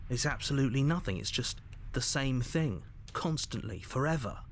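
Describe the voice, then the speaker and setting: An actor playing a controlling, exasperated narrator of a dark storybook game, theatrical, insistent and dryly sardonic. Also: male voice, mid-range voice, animated, normal speed, slight background noise, natural voice. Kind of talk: dramatic scripted game narration. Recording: loud music under the voice. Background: game music.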